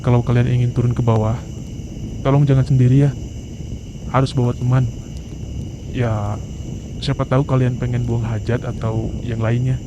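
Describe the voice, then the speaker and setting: Friendly young male mountain guide, acted by a man, reassuring, mid-pitched, for horror animation; clear outdoor insect noise. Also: flat delivery, moderate pace, long pauses, highs cut off, lightly acted. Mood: friendly, amused. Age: young adult. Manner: reassuring, advising. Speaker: volunteer mountain guide character. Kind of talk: animated horror story dialogue.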